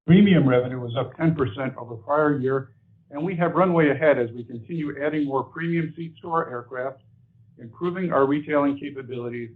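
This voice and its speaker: A man's voice: mid-range voice, fairly quick. A male airline executive presenting and discussing company results in a businesslike way. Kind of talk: corporate earnings presentation and answers. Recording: narrow muffled conference-call phone audio.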